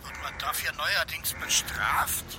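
A woman's voice: low-pitched, unhurried, noisy background. A woman playing a grumpy, unwilling neighbour answering the door in a radio play. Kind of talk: radio drama acting.

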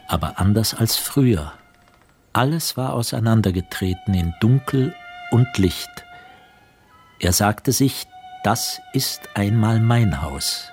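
A man playing the grave storyteller, narrating a literary radio drama in measured phrasing. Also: on the low side, a little mellow. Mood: tense. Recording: studio voice with music behind.

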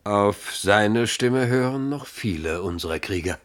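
A proud, pragmatic chief and father in a German radio drama. He has a low voice and speaks in a grave, measured, defensive way. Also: expressive. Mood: wary.

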